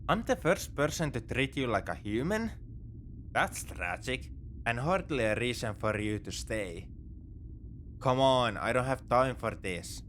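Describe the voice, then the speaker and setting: Man acting as a male space pirate, mid-pitched, exasperated, dismissive, teasing, secretly soft-hearted, sci-fi ASMR roleplay, faint noisy ambience. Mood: incredulous, irritated.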